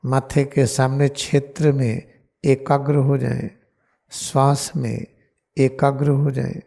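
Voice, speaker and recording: A man with an Indian accent leading a guided meditation as a teacher, giving deliberate, repeated, solemn instructions. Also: medium pitch, little pitch movement, creaky, mellow.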